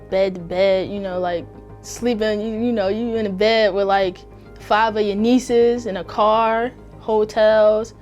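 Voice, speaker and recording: Young woman in an interview, rambly and loose, soft music behind. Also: medium pitch. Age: early 20s. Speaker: former foster youth interviewee. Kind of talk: documentary interview.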